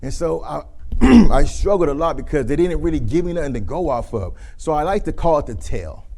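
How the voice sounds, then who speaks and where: A man in his thirties with a deep voice, giving a casual workshop talk on communication and acting out flat and lively greetings as examples. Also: brisk. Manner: conversational, demonstrates monotone versus lively greetings. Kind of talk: casual presentation with demonstrations.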